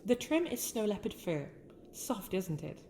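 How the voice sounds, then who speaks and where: A young winter princess, acted by a woman, speaks in a British accent at a medium pitch. Her phrasing is formal and theatrical, that of a proud, sharp-tongued royal with a softer side, in an intimate fantasy ASMR roleplay. Light ambient noise sits behind the voice. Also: sing-song, unhurried. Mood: concerned, generous. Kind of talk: scripted ASMR roleplay addressed to the listener.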